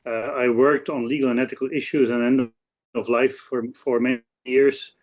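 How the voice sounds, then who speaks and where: Halting Belgian Dutch-accented man in his sixties. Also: mid-range voice, little pitch movement, a little mellow, lo-fi sound. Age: 60s. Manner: halting, with frequent hesitations and repeats. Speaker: law professor on a panel. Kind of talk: introducing own work at a webinar. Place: office.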